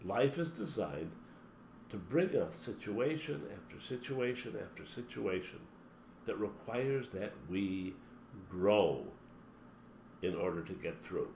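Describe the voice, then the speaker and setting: A man's voice: on the low side, lively intonation, takes it slowly, darkish tone. A man giving a motivational talk as a spiritual teacher, speaking emphatically and running through long spoken lists. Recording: roomy echo with faint background hum.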